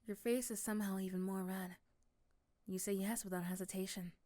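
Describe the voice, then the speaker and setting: A woman softly narrating a scripted second-person ASMR story, hushed and often close to a whisper. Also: mid-range voice, fairly even intonation, a little fast.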